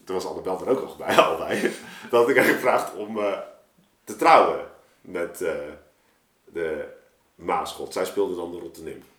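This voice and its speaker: A man telling anecdotes in an informal, animated way, as in a casual podcast chat. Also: sits low, normal speed. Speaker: podcast guest, artist telling of a project. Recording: roomy, echoing room sound.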